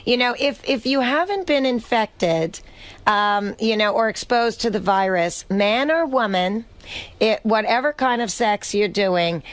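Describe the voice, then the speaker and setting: A woman's voice: a little high, expressive, unhurried. A woman, a radio studio guest and activist, speaking earnestly in long speechmaking stretches full of fillers and restarts. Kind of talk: casual radio talk.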